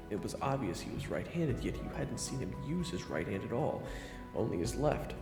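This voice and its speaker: Deep, gravelly male story narrator. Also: reverberant, long reverb tail. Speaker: narrator of an audio roleplay. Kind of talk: second-person scripted story narration.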